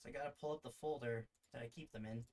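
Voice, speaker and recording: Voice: mid-range voice, very fast, a little mellow, poor audio. A streamer chatting casually and muttering to themselves during a livestream. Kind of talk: casual livestream chat, reading a visual novel aloud. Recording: music in the background. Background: music.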